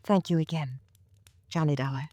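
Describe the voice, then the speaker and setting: An actress playing a troubled, guarded and politely grateful woman in old-time radio drama style, speaking haltingly. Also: low voice, fairly even intonation, warm tone, lightly acted. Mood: subdued gratitude. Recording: old radio recording, faint noise.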